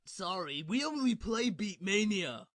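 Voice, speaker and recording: A high, bright young ninja fellow voiced by a man, polite and meek. He chatters in a cartoon spoof with very swoopy pitch. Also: sing-song, a little slow, no echo, clean studio sound, full character voice. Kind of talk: comedic parody dub.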